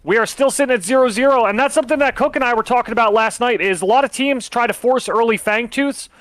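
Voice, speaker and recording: High-voiced casual esports commentator man. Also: fast talker, no echo. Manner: relaxed, explanatory, with fillers.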